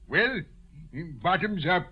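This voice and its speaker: Washed-up stage actor, kinda high voice, super pompous and grand, old muffled radio drama sound. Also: male voice, animated, slow pace, heavy background noise. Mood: self-satisfied. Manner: declamatory, pompous. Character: vain washed-up stage actor, theatrical and self-admiring. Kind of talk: 1940s radio acting. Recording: dull vintage 1940s radio recording.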